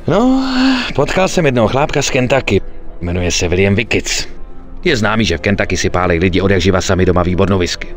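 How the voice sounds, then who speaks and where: An actor playing a chatty, eager young gangster schemer in a video game, talking colloquially. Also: male voice, low voice, soft background music. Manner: colloquial, animated storytelling. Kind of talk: video game cutscene acting in Czech.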